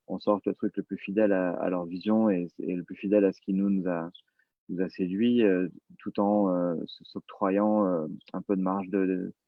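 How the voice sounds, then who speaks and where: A man with a deep voice talks informally as a producer on a panel. He uses casual, slangy wording, and the sound is close and dry. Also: dry recording, phone-quality sound. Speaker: podcast producer, panel guest.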